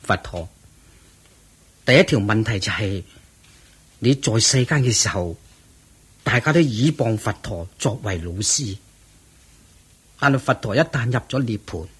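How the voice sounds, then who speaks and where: An actor playing a devoted, grieving monk disciple in a radio drama, reverent and earnest, with husky Hong Kong Cantonese speech. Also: male voice, mid-range voice, animated, measured pace, pauses often. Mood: earnest, respectful. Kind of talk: Buddhist radio drama acting.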